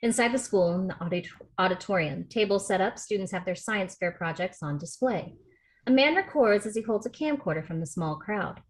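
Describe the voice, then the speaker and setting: A woman reading a script's stage directions aloud in a steady, matter-of-fact way for a table read over a video call. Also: medium pitch, a little fast, slight background noise, poor audio.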